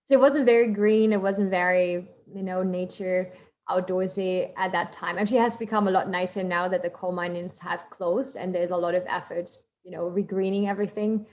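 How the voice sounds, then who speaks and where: A woman's voice: lowish voice, fairly even intonation, moderate pace, darkish tone, muffled recording. A woman with a German accent, a marine scientist giving a chatty online talk with many fillers and asides. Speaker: marine biologist guest speaker.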